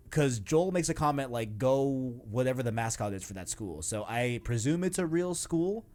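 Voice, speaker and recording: A man's voice: medium pitch, a little mellow. A man hosting a casual video game discussion, talking in a rambling, enthusiastic, slangy way. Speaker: podcast host.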